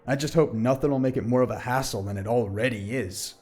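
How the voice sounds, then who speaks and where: A man playing a sardonic teenage high-school boy in an audio drama, sarcastic, slangy and foul-mouthed. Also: brisk, a little mellow, natural voice. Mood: wry.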